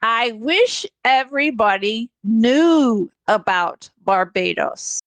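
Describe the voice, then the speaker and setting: An American woman hosting a conversational online English lesson, explaining things in an encouraging, animated way. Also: a little high, slow pace, no room sound. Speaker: English teacher, host.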